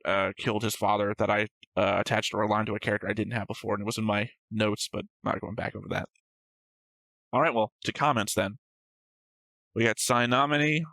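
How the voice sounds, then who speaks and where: A man's voice: fairly low pitch, pushed and bright, no echo, rough recording. A man hosting a reaction show, reading out notes and discussing them casually in a matter-of-fact, slightly pedantic way.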